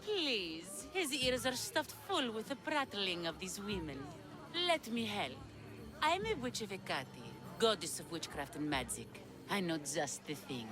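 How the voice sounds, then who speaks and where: A woman playing a sly witch in a video game, with a deliberate, theatrical, coaxing delivery. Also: mid-range voice, big pitch swings. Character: sly witch of Hecate peddling potions, slow, sultry. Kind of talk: dramatic video game acting.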